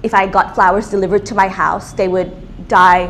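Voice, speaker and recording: A woman's voice: medium pitch, level tone, fast talker, slight background noise. A young woman from Australia, answering interview questions casually with frequent ums and restarts. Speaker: business owner, interviewee.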